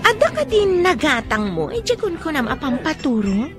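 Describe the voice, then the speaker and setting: Flirty scheming waitress, high swoopy voice, ilocano radio drama, music under it. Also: female voice, sing-song, moderate pace. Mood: excited, scheming. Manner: playful, teasing.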